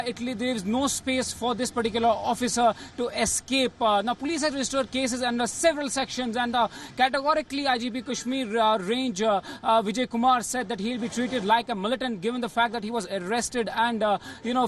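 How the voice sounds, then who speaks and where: Halting high-voiced Indian male news correspondent. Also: fairly bright tone, a little hiss or hum. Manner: hesitant, halting with repeated filler phrases. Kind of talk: unscripted live news report.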